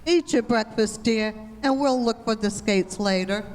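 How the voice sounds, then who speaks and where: A woman acts a patient radio-comedy mother, with a slightly high voice and some hall reverb around it. She soothes and placates, staying gently firm with her family. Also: measured pace, lightly acted. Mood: briskly soothing. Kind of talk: old-time radio family comedy acting. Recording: reverberant hall stage recording.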